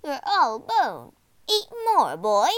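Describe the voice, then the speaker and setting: A woman voicing a sly, greedy, short-tempered old witch in a children's storybook, with a croaky, commanding voice. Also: sits high, huge pitch swings, slow pace, dry recording, exaggerated voice. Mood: impatient, demanding.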